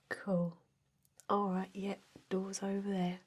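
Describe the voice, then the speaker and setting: A woman voicing an easygoing female energy vampire who interviews for an office job, in a hushed, casual, hesitant ASMR roleplay whisper. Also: medium pitch.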